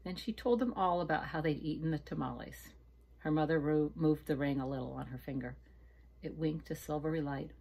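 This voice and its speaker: A woman with a low-pitched voice reads a storybook to children. She narrates expressively and dramatically, as a teacher would, drawing her listeners in. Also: vocal fry, mellow, slightly rough, poor audio. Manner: dramatic, pausing to ask listeners questions. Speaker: teacher reading aloud to children. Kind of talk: expressive storybook read-aloud.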